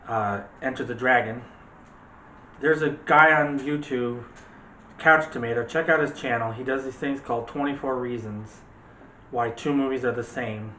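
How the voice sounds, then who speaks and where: A man's voice: mid-range voice, fairly bright tone, distant mic. A man hosting a casual movie chat, talking directly to viewers in a chatty way. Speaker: video host. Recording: roomy, noisy, off-mic home recording.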